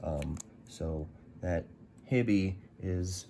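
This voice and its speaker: A man giving a casual, unscripted product review in a halting, rambling way with long pauses. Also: deep voice, dark tone, slight background noise.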